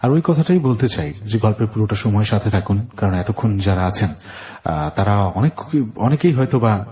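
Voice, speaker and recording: A man hosting a life-story interview show, measured and earnest as he listens and addresses listeners. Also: fairly low pitch, lively intonation, warm tone, slight background noise. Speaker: radio show host and interviewer.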